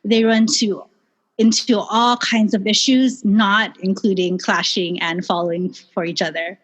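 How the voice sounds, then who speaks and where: A woman from Hawaii speaks as a podcast guest, her delivery measured and steady as though reading prepared notes. Her voice sits at a middle pitch. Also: dull audio.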